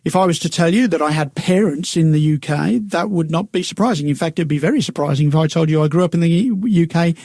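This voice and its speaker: A man's voice: on the high side, fast, vocal fry, few pauses, warm tone, dry recording, clean studio sound. A man co-hosting a faith radio talk, musing aloud and reflective, with plenty of "uh" and "you know". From United Kingdom. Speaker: radio co-host.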